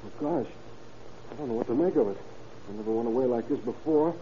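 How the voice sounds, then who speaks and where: An actor playing an anxious young nephew, plaintive and earnest, in an old-time radio drama on a muffled, hissy recording. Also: male voice, mid-range voice, quick pace, mellow, lightly acted.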